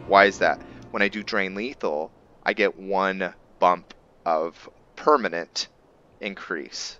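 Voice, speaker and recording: A man giving casual let's-play commentary as a gaming host, musing aloud as he thinks through his decisions. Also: low-pitched. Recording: game music behind the voice. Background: video game music.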